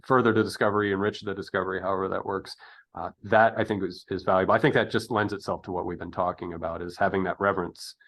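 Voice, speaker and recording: Low-voiced man, halting and reflective. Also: fairly even intonation, a bit bright. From United States. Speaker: podcast interviewer. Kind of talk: casual reflective conversation.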